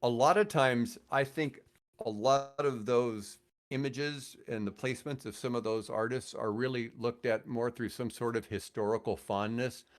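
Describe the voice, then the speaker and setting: An older man with an American accent, telling rambling, animated anecdotes casually as a radio veteran on a podcast. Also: medium pitch, normal speed. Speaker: radio host and guest.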